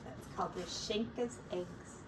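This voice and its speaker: A woman teacher reading a picture book aloud to young children in an expressive, deliberate storytelling manner. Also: lowish voice. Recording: distant mic, faint background noise.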